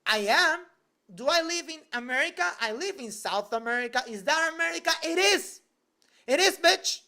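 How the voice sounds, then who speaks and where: A man's voice: very high voice, expressive, moderate pace, sharp tone. A young man chats loosely on a livestream from his bedroom. He jokes around, answers viewers on the fly, and leans on "like" constantly. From Venezuela. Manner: loose and joking, heavy use of "like". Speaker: YouTuber answering live chat. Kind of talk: casual livestream Q&A. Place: home bedroom at his desk.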